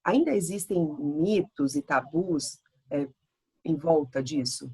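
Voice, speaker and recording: A woman's voice: sits low, animated, takes it slowly, darkish tone, slightly rough, rough recording. A Brazilian woman hosting an interview, with a chatty, enthusiastic way of asking questions. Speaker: host.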